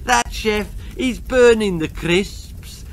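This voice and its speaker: A man's voice: sits high, slow pace, a little hiss or hum, pitched above the performer's own voice. A man with an English accent voicing an oblivious, silly mother in a comic children's story, gushing and unconcerned. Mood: hysterical amusement.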